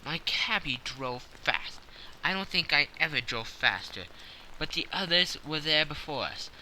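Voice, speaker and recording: A man's voice: fairly high pitch, lively intonation, unhurried, sharp tone, a little hiss or hum, poor audio. A man narrating a classic story as a scripted, dramatic audiobook.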